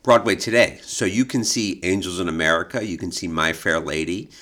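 A man co-hosting an informative podcast conversation, explaining things in a measured way with hesitant pauses. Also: low-pitched, fairly bright tone. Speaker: podcast co-host, creative director of an arts foundation.

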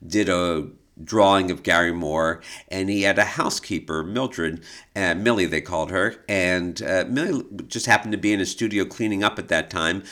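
A man's voice: lowish voice, a bit bright. A man co-hosting a podcast, telling anecdotes in a casual conversational style and acting out the quoted dialogue. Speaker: podcast co-host. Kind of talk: casual conversational storytelling about art history.

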